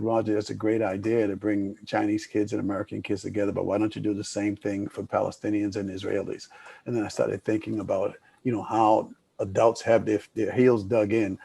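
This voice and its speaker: Older male moderator: gravelly, husky, low, flat delivery, dry close sound. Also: dry recording. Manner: rambling, with many restarts and fillers. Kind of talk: panel discussion.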